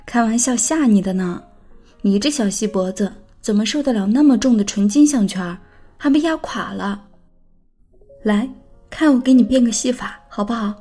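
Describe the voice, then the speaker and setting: A woman's voice: fairly high pitch, animated, normal speed, warm tone. An actress playing a playful, caring, feisty young woman in an audiobook drama, teasing and coaxing like a big sister. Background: background music.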